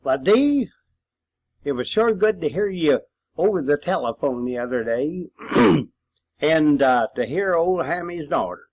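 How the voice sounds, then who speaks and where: An older man, a war veteran, rambling through reminiscences in a folksy, halting way on an old home cassette recording. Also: fairly high pitch, lively intonation, a little mellow, highs cut off. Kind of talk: rambling reminiscence, spoken storytelling.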